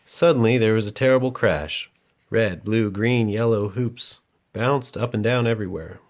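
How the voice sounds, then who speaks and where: Low-pitched man reading a storybook. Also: moderate pace, slight background noise. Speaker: children's book reader, host. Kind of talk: read-aloud storybook narration to a child.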